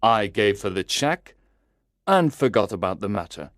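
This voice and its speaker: A man narrating a first-person story in a slow, deliberate, carefully enunciated way, as if for language learners. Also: fairly low pitch, big pitch swings, a bit bright, no room sound, studio quality.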